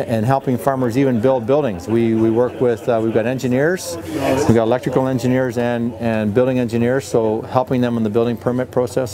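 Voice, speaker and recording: A man in his thirties from Ontario speaks in a plain-spoken, steady voice, stopping now and then to find a word. His pitch sits in the middle of the male range. The small wood-panelled office is filled with a loud hum of crowd chatter behind him. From Ontario, Canada. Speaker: solar company co-owner. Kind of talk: on-camera interview answers.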